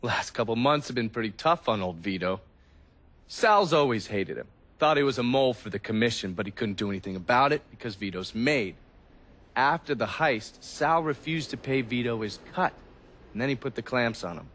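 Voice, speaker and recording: An actor playing a dry, knowing informant in a crime video game, with an American accent and a measured, faintly sardonic delivery. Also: male voice, mid-range voice, expressive, a bit bright. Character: male informant briefing on mob rivals, dry and knowing. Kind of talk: video game cutscene dialogue.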